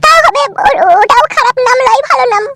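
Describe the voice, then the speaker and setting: A woman voicing a squeaky, obedient young bride in a comedy cartoon. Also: a little fast, loud music behind the voice. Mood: chatty.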